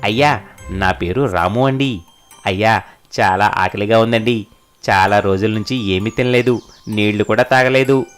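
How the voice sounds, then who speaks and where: కార్టూన్ నీతి కథలో ఆకలితో ఉన్న, వినయంగా బతిమాలే పిరికి బిచ్చగాడికి గొంతు ఇస్తున్న పురుషుడు. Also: male voice, on the low side, measured pace, pauses often, soft background music, lightly acted. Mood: pleading, weary. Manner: pleading, deferential. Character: hungry, timid, pleading beggar man named Ramu. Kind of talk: animated moral story dialogue.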